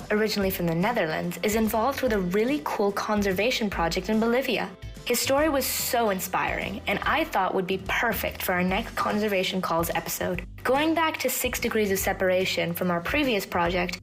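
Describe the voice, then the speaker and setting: A young woman from Pakistan explains things earnestly as a podcast co-host. Her pitch is medium, and faint music plays behind her. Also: fairly bright tone.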